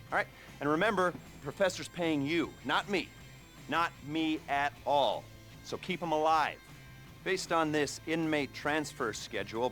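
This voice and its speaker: An actor playing a chatty, self-mocking criminal heist handler in a video game, rambling and darkly joking. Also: male voice, medium pitch, a bit bright, lightly acted. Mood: urgent, brisk. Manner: rambling, hesitant, darkly joking, chuckles to himself. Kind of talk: video game cutscene acting.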